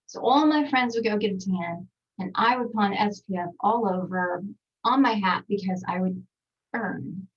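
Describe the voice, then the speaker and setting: A woman's voice: medium pitch, normal speed, husky, recorded from a distance, muffled recording. Chatty Texan woman on a webinar. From Texas, United States. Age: 40s. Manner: chatty, with many fillers and asides. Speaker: skincare trainer presenting a webinar. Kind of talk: casual online presentation. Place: small home voiceover studio.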